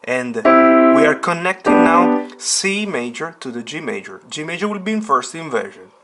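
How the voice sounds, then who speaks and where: A man's voice: on the high side, sing-song, moderate pace. A man teaching in an instructional video tutorial, explaining step by step and stressing key words, with rhetorical questions to the viewer. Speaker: piano teacher, channel host. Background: piano chords played as demonstration.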